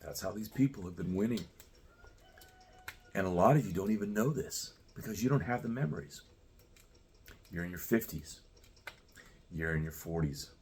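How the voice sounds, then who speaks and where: A lower-voiced man in his sixties rants at his listeners, swearing and challenging them directly. He circles back on his own phrases as he pushes his point. A faint hiss of noise sits behind him. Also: animated, moderate pace, long pauses, darkish tone. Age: 60s. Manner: profane, confrontational, repetitive. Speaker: commentator. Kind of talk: ranting monologue.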